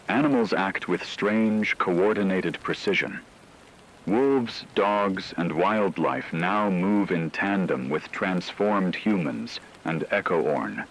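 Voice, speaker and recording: A man reading a scripted emergency radio broadcast in a solemn, ominous, measured way. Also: low voice, fairly bright tone, a little hiss or hum, poor audio. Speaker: radio announcer.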